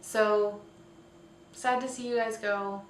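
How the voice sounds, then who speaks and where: A woman's voice: medium pitch, steady tone, slow. Woman, casual show host, thinking-aloud delivery, echoey room, faint background noise. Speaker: host of a weekly online show. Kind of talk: casual scripted-outline vlog commentary. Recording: roomy, distant mic, faint noise.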